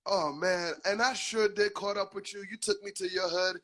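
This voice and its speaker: A man's voice: sits high, fairly even intonation, moderate pace, fairly bright tone. A man hosting a casual interview, easygoing, laughing along and giving short replies. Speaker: host.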